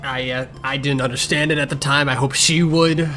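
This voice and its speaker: A man's voice: mid-range voice, fairly even intonation, normal speed, sharp tone, close to an everyday voice. A man voicing a grumpy, sarcastic blind young cat healer in a fan Q&A, with a curt, irritable and defensive manner. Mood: firm, dry. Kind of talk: improvised in-character fan Q&A. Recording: music behind the voice.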